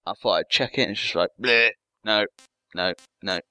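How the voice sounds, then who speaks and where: A man's voice: on the low side, moderate pace, bright, forceful tone, smooth, dry recording. A man giving casual let's-play commentary as a gaming host, rambling and jokey with some swearing. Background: game music.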